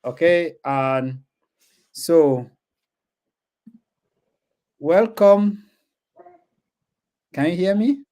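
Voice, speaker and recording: Guy hosting his channel, kinda high voice, lots of ums and restarts. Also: lively intonation, extremely slow, pauses often, dark tone. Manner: hesitant, filler-heavy, repeating himself. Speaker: channel host. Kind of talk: hosting an online interview.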